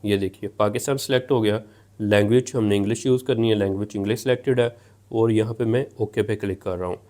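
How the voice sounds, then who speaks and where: A man's voice: on the low side, fairly even intonation, fast, mellow. A man narrating a hands-on technical tutorial, explaining and rephrasing each step as he goes. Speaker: tech tutorial presenter.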